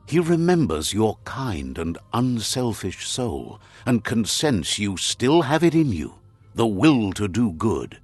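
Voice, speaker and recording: A middle-aged man giving calm, scripted storybook narration for a game, relaying what the characters say. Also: lowish voice, slow, slightly rough. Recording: studio voice-over with soft game music.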